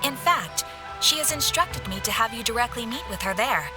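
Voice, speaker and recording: A woman plays a courteous young assistant in a video game. She speaks formally and calmly in a fairly high voice. Music is clearly audible behind her. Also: moderate pace, lightly acted. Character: polite, composed young female messenger and assistant.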